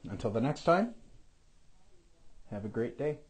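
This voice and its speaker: A man talking casually and in a low-key way to his viewers, as an amateur voice performer. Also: sing-song, measured pace.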